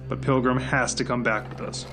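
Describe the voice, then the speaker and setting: A man playing a gruff, by-the-book agent in an audio drama, curt, dry and exasperated. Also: medium pitch, little pitch movement, brisk, music in the background, close to an everyday voice. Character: gruff, weary, by-the-book male agent in charge.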